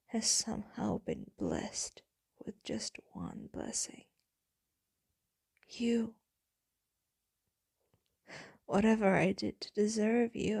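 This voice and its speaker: Woman acting a whispering, breathy, lovesick vampire. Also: fairly high pitch, darkish tone, no room sound, studio quality, exaggerated voice. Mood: tender, wistful. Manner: slow, intimate, tender, breathy delivery. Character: devoted, melancholy ageless female vampire lover. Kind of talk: whispered ASMR roleplay monologue.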